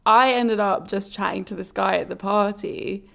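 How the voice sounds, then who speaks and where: A woman with a creaky, medium-pitched voice sounds a little ill and phlegmy. She co-hosts a podcast, sharing personal stories casually and candidly, poking fun at herself as she goes. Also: steady tone, normal speed, fairly bright tone. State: slightly ill, phlegmy throat. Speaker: podcast co-host. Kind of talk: casual confessional storytelling and chat.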